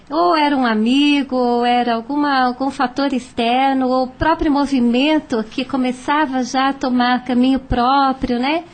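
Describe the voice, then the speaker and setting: A woman with a Brazilian accent sharing reflections in an unscripted discussion. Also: high voice.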